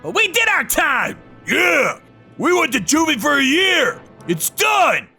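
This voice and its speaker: Man as a young male thug for manga dub: very high, screechy, panicked shouting over music. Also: wildly swooping pitch, unhurried, creaky, exaggerated voice. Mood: defensive. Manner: frantic shouting and whining. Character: panicked young male thugs, screaming and threatening.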